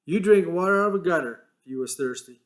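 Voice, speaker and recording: A man voicing a small, irritable ranch hand who talks gruffly, swears and sounds fed up. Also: fairly high pitch, animated, quick pace, voice pushed up, natural voice. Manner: gruff, exasperated, swearing, rough rural speech.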